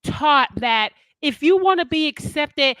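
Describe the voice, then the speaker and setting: A woman with a loud, commanding voice, chatting casually on a podcast. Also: high voice, animated, a little slow, no echo, rough recording.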